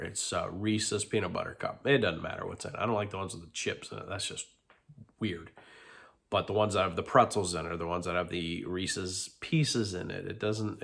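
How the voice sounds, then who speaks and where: A man's voice: low voice, creaky, a bit bright. A middle-aged man with a stuffy, congested nose, talking candidly in a rambling vlog confession full of ums and restarts. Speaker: vlogger.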